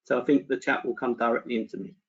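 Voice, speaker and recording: A man's voice: medium pitch, fairly even intonation, fast talker, husky. A man hosting and moderating an online webinar in a polite, organised, fairly formal way. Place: online video call. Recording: dull online call audio.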